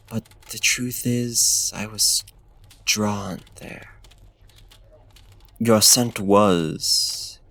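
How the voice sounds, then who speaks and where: Man acting a slow, low, creaky seductive vampire. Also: no echo, full character voice. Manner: formal, archaic phrasing, slow deliberate pauses, courtly endearments. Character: suave, predatory ancient male vampire, seductive and menacing. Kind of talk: ASMR roleplay, intimate theatrical monologue.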